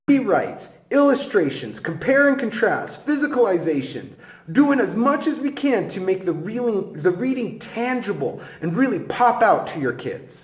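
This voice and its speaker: A man with a high, very lively voice teaches in an echoey room. He presents like an instructor, addressing the viewer directly. His delivery is emphatic and encouraging, with big rises and falls in pitch. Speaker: teacher giving a training lesson.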